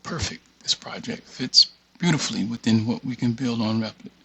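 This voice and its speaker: A man giving a casual screen-share walkthrough, thinking aloud in a halting mutter and reading text off the screen. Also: medium pitch, sharp tone, husky. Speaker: tutorial presenter. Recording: dull, muffled lo-fi audio.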